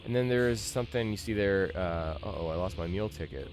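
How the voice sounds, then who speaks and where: A man's voice: low voice, a little fast. A man in his thirties giving casual playthrough commentary as a channel host, thinking aloud as he plays. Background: game music.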